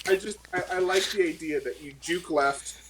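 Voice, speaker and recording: A man's voice: fairly high pitch, moderate pace, background noise. A man playing a tabletop game, chatting casually with wry, teasing remarks.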